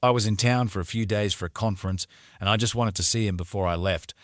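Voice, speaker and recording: Male actor as a deep-voiced courteous old soldier caller, dry close sound, steady and polite. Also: dry recording, close to an everyday voice. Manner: courteous, measured. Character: polite middle-aged male military veteran visitor.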